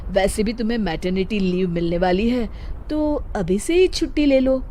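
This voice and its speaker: A woman's voice: medium pitch, normal speed, warm tone, slight background noise, natural voice. A woman voicing a young husband in a cartoon story, worried and irritable, with a hesitant manner. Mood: persuading. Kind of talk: Hindi cartoon moral story acting.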